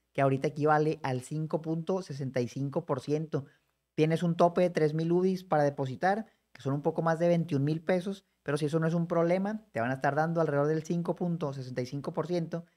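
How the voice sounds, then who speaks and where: Guy in his 30s doing a finance tutorial, quick and chatty, talks right to you. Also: fairly high pitch, darkish tone. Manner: brisk, conversational, addresses viewer directly. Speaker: personal finance educator. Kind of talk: explanatory screen-share tutorial.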